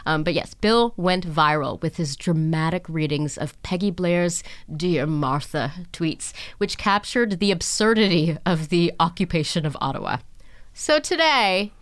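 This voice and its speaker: A woman's voice: lowish voice, animated, unhurried. A woman hosting a podcast, interviewing in a casual, chatty style. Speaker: podcast host.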